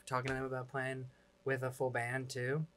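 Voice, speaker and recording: A man's voice: medium pitch, completely flat delivery, normal speed, a bit bright, slight background noise. A man in his twenties from Pennsylvania talks as a musician guest on a studio lounge set. He is easygoing and encouraging and uses many casual fillers as he speaks into a desk mic. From Pennsylvania, USA. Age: 20s. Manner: easygoing, encouraging, says "like" a lot. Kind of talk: casual chat. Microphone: desk mic on stand.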